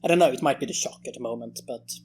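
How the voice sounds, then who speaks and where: A Swedish-accented man roleplaying his character, speaking hesitantly with many pauses. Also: medium pitch, darkish tone, husky. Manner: hesitant, filler-heavy. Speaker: player.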